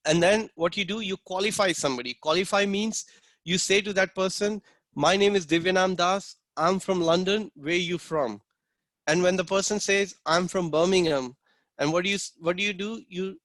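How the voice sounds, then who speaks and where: A man's voice: fairly high pitch, fairly bright tone, no echo. A man teaching an online class, earnest and instructive, acting out short street dialogues as examples. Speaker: book distribution instructor.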